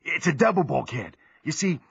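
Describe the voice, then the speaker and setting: An actor playing a scheming, folksy sailor prisoner who leads a rebellion in an audio drama. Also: male voice, on the high side, very animated, moderate pace, vocal fry, breathy edge, full character voice. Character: fiery, scheming American sailor prisoner, rough rebel ringleader. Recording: muffled recording.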